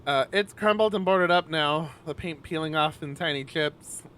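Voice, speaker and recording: A man livestreaming a visual novel, mixing expressive, sweary casual chat with reading the narration aloud. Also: fairly high pitch, moderate pace.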